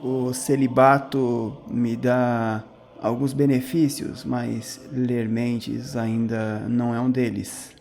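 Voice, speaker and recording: A defiant male priest, played by a man at a game table, speaks very slowly in a dark, mellow, gravelly voice. He is dry and sarcastic, choosing each word in this audio drama. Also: mid-range voice, flat delivery, soft background music. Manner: deliberate, halting, sarcastic.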